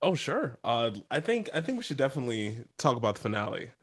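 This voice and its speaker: A man's voice: mid-range voice, very animated, quick pace, breathy edge, no room sound. A man co-hosting a podcast, talking casually about entertainment in a conversational style. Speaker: podcast co-host. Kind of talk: casual pop culture discussion.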